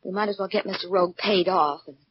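An actress playing a bold, cool, scheming young granddaughter in a 1940s radio drama. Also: female voice, fairly low pitch, fast, lightly acted. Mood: matter-of-fact. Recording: dull, noisy 1940s radio broadcast.

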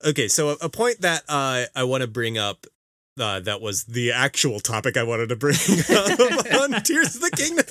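A man co-hosting a casual video game podcast, talking emphatically with halting explanations and exasperated teasing. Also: mid-range voice, very animated, bright, forceful tone, dry recording, studio quality. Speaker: podcast co-host.

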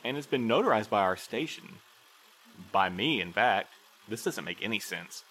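A man's voice: fairly low pitch, animated, normal speed, a bit bright, low-quality recording. An actor playing a fussy, rule-bound male postal supervisor in an audio drama, speaking in formal, precise phrases with a fretful air. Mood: puzzled, proper. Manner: formal, prim, precise wording. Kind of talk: full-cast audio drama dialogue.

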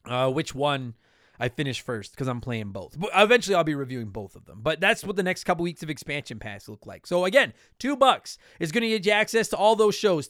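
A man hosting a podcast in a casual, joking, self-deprecating and blunt way, his voice slightly hoarse from a lot of talking. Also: high voice, fairly bright tone, no echo, studio quality. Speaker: podcast host. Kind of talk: casual solo podcast talk with promotional plugs.

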